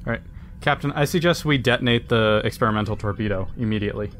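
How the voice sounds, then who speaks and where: A man's voice: lowish voice, quick pace, a bit bright. A man playing a tabletop game and talking casually in a blunt, matter-of-fact way. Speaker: player as tactical officer. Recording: faint room noise.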